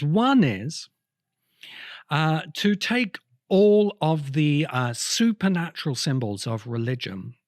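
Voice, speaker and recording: A man giving an explanatory lecture in emphatic short phrases with pauses between them. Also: fairly high pitch, no room sound, clean studio sound.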